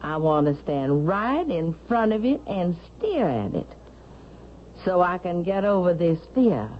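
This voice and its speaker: An actress playing an imperious, suspicious elderly widow with a haughty, deliberate, sharp-tongued delivery in a 1970s radio drama. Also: female voice, low-pitched, exaggerated voice. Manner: haughty, deliberate, pointed questioning. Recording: dull, hissy vintage radio recording.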